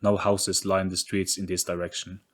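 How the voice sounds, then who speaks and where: A man's voice: deep voice, level tone, normal speed. A man giving a scripted, dramatic narration of an audio story.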